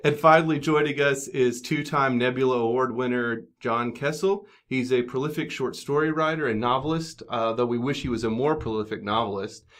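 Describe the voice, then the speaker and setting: A man's voice: medium pitch, brisk, hardly any pauses, fairly bright tone. An American man with a wry, dryly joking manner, hosting a podcast round table and introducing guests. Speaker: podcast host.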